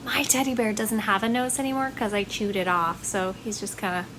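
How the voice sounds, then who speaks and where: A woman's voice: medium pitch, steady tone, a little fast, noisy background. A woman working as a children's librarian, presenting storytime in a simple, sing-song way for small children.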